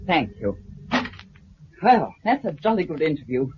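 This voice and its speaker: An actress with a British accent playing a polite, eager interviewer in a 1950s sci-fi radio drama. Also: female voice, deep voice, very animated, a little slow. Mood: polite, inquisitive. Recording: dull, slightly noisy 1950s radio recording.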